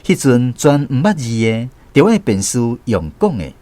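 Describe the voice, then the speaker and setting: A man's voice: medium pitch, sing-song, moderate pace, dark tone, dry recording, natural voice. A man playing a grown storyteller reflecting on his boyhood, narrating a radio drama with a Taiwanese accent. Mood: reflective.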